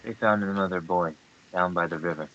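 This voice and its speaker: A man acting a troubled, haunted boyfriend in a script read, halting and understated. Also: low voice, steady tone, fairly bright tone, highs cut off. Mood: grim, conflicted. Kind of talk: script table read, acted dialogue.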